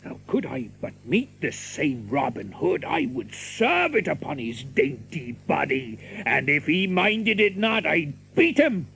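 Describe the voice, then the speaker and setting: A stout, blustering tinker in a theatrical adventure drama. His voice is high and gravelly, and he draws his archaic words out slowly. He is boastful and quick to take offence. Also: sing-song, fairly bright tone, slight background noise, full character voice, rough recording. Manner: blustering, exclamatory, chuckling, drawn-out delivery. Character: boastful, hot-tempered stout tinker, older than Robin.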